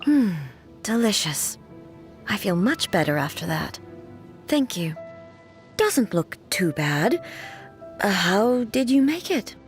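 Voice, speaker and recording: A woman playing a stern, solemn warrior ruler in a video game, speaking in formal, measured, stately phrases. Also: mid-range voice, very animated, soft background music. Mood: lighter, gentler. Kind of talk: scripted video game character voice lines.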